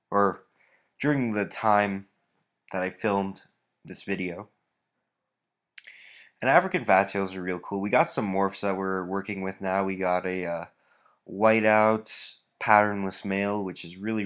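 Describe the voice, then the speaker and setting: Stuffy-nosed man, low voice. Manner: relaxed, rambling, many fillers. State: stuffy nose. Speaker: reptile breeder. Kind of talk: casual walkthrough vlog.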